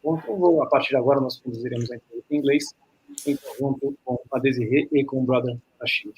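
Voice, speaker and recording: A man's voice: mid-range voice, fairly even intonation, racing, a little mellow, a little hiss or hum. A man with a Brazilian accent, a native Portuguese speaker, formally introducing a podcast as its host with careful, slightly hesitant phrasing. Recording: dull lo-fi call audio.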